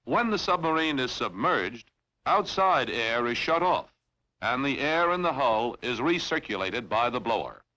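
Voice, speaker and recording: A man reading scripted instructional narration in a formal, measured, clearly enunciated way, as in a training film. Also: medium pitch, sing-song, sharp tone, poor audio.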